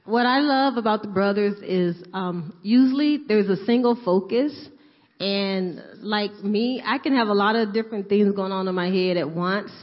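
A woman's voice: mid-range voice, normal speed. A woman earnestly sharing her thoughts in a group, reflective and prone to repeating herself. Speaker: church group member. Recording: echoey hall, dull sound.

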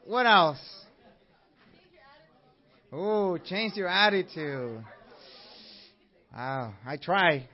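A man's voice: sits high, extremely slow, pauses often. Upbeat man with a Mexican accent. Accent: Mexican Spanish. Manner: upbeat, asks the audience questions. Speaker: church class teacher. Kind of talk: interactive church class teaching. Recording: dull lo-fi recording.

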